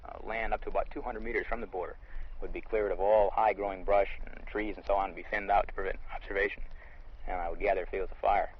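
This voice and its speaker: A man's voice: sits low, flat delivery, quick pace, slightly rough. An American man, an army lieutenant, explaining things matter-of-factly in an interview outdoors in the field. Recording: dull lo-fi vintage field recording.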